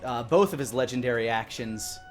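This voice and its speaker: A man in his thirties narrates a tabletop fantasy game as game master. His pitch is mid-range and music plays behind him. He improvises the action and rulings on a close mic. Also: a little fast.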